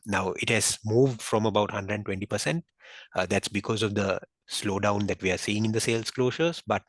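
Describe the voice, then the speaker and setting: A man speaking as a finance executive on an investor call, explaining in a halting, measured, unscripted way. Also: on the low side, fairly bright tone, no echo. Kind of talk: unscripted business earnings call.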